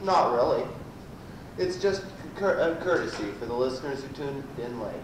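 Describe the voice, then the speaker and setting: A man playing a pompous, badgering prosecutor in an amateur radio comedy, with a stumbling, halting delivery. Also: medium pitch, lively intonation, natural voice. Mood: probing. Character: pompous, badgering male prosecuting lawyer in sci-fi parody. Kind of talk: amateur old-time radio comedy acting. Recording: roomy, distant, noisy amateur live recording.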